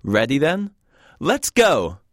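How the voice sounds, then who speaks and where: A man's voice: medium pitch, wildly swooping pitch, takes it slowly, dry recording, clean studio sound. A man from the UK hosting a scripted language course, with a wry, joking teacher's manner. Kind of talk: scripted lesson narration.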